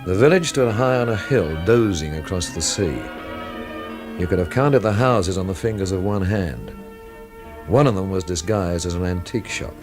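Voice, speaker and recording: Low-voiced, wry world-weary investigator. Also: male voice, lively intonation, normal speed, over music. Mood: wry reflection. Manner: dry, literary, laconic. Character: wry, world-weary male investigator narrating his own inquiry. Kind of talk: radio drama narration and dialogue.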